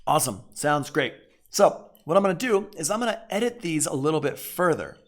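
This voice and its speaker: An American man giving an informal software tutorial, thinking aloud as an instructor and punctuating steps with short exclamations. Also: on the high side, big pitch swings, brisk. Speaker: audio production instructor.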